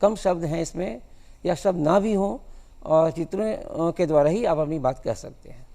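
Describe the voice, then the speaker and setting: A man in his sixties with a creaky, fry-laden voice answers interview questions in a halting, measured way. His tone is soft-edged and mellow. The recording carries a long reverb. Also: fairly high pitch. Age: 60s. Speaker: guest cartoonist.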